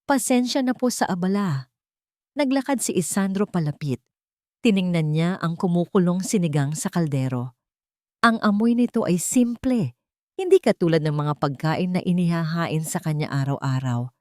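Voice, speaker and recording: A woman narrates a dramatic love story in a low-pitched voice. The recording is dry and close, with scripted, storyteller delivery throughout. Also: animated, normal speed, darkish tone, no room sound.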